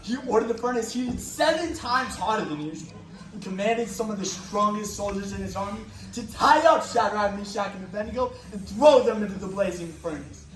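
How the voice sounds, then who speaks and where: A man's voice: sits high, fairly quick, a little hiss or hum. A man narrating a dramatized recitation of a Bible passage. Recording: echoey hall, far from mic.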